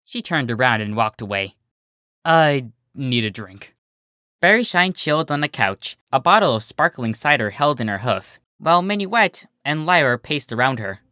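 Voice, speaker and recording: A narrator reading a scripted story in an animated storytelling style. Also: mid-range voice, moderate pace, hardly any pauses, dry recording, clean studio sound. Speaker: narrator, fan fiction reader.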